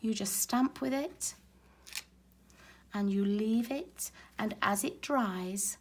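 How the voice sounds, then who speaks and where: A woman with a raspy voice, hoarse from a lingering cold, talks through a card-making demonstration. She sounds chatty and explanatory as she works with her hands at her craft table, and her pitch sits in a middle range. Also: slow. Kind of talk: casual crafting tutorial. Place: craft table at home, filmed from overhead.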